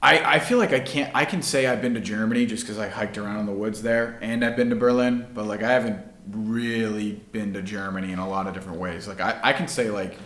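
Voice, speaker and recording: A man's voice: lowish voice, fairly even intonation, quick pace, hardly any pauses, a bit bright, roomy sound. A male podcast host chatting in a laid-back way, saying "like" a lot. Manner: laid-back, heavy use of "like". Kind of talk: casual chat.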